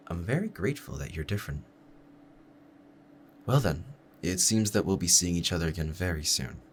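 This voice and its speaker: A man playing a lovestruck assassin in a roleplay audio drama, speaking to the listener in formal, measured, courteous phrasing with quiet menace. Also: rumbling low, slight background noise, heavily acted. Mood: grateful, candid.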